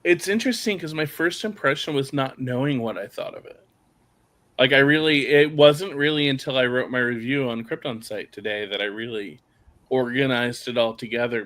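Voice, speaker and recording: A middle-aged man, a guest on a live review, speaking in a measured, reflective way. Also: mid-range voice, a bit bright. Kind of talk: casual chat.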